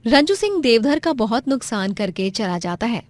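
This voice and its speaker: A woman's voice: on the high side, very animated, normal speed, darkish tone, no echo. A woman narrating a scripted moral story in a dramatic storytelling style.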